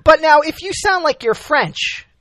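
Lively-pitched radio host asking questions. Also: no room sound. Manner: lively questioning, quick interjections. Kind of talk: casual chat.

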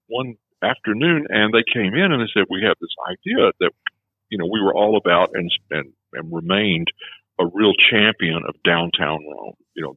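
A man's voice: deep voice, husky, no echo. A man, a former film festival director, telling stories in a rambling way, full of restarts, recorded with a tinny phone-like sound. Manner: rambling, many restarts, chuckles at his own stories. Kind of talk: casual conversation.

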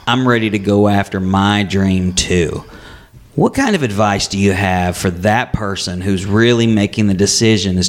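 A man hosting a local business radio show, with a somewhat low pitch for a man yet a voice he calls high. He talks in a chatty, animated way and often restarts his sentences as he warms to a point. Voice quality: fairly high speaking voice for a man. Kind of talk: casual interview.

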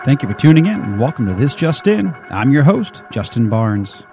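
A man's voice: medium pitch, big pitch swings, moderate pace, music in the background. A man hosting a radio interview show, chatting casually with many fillers and restarts.

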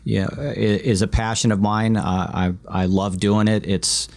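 Man from alaska, attorney guest, lowish voice, modest, dry humor. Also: little pitch movement, normal speed, creaky, a little mellow. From Alaska, USA. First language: Vietnamese. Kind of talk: interview answers.

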